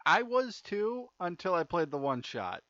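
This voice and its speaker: A man's voice: sits high, sing-song, a little slow, a bit bright, no echo. Young man gaming on a dull mic. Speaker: let's play host. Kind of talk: casual let's play commentary, reading game dialogue aloud. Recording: dull lo-fi mic with music behind. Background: game music.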